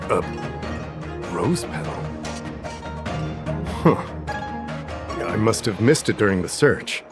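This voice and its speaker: A methodical game official with a low voice. He is composed and formal, speaking in a measured way over clear music. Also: animated. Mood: puzzled. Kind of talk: video game dialogue acting. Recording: music behind the voice.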